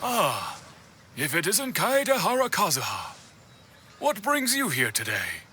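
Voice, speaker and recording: A man voicing a dignified, philosophical master swordsmith in a video game, speaking deliberately and with reverence. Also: high voice, wildly swooping pitch, noisy background, exaggerated voice. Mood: welcoming. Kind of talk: scripted video game dialogue. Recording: faint game music behind the voice. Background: faint background music.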